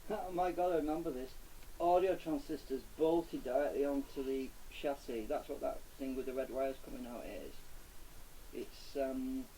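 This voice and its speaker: A man's voice: mid-range voice, normal speed, dark tone, slight background noise, poor audio. A man gives casual unscripted commentary while doing a hands-on repair, thinking aloud and muttering to himself on an amateur camera recording. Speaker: hobbyist electronics repairer presenting his own video.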